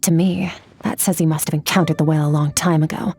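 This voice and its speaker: A woman's voice: low voice, steady tone, quick pace, a little mellow. A woman playing an aloof, blunt, battle-hardened swordswoman in a video game, detached and matter-of-fact. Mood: detached, grave.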